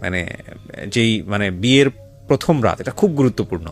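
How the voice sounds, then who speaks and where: A man's voice: fairly low pitch, animated, normal speed. A man with a Bangladeshi accent hosting a conversational radio interview, offering reflective commentary and mixing English phrases into his speech. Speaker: radio show host. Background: music.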